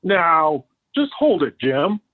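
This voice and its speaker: Meek henpecked husband, old radio comedy, slow and hesitant, sounds like thru a phone. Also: male voice, fairly high pitch, sing-song, phone-quality sound. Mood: affronted. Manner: hesitant, halting. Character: hesitant, henpecked middle-aged husband, mild but proud father. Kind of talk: 1940s radio sitcom acting. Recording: thin, band-limited old radio recording.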